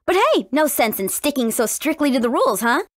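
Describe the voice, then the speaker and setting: A woman's voice: sits high, big pitch swings, brisk, no room sound, clean studio sound. A woman voicing a spirited, cautious fifteen-year-old warrior princess in a video game, earnest and youthful in her delivery. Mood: cheerful. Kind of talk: English video game voice acting.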